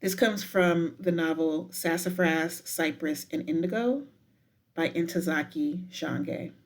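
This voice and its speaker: A woman guiding a meditation in a deliberate, unhurried manner with frequent pauses. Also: deep voice. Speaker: professor guiding a breathing meditation. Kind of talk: guided meditation with spoken poetry.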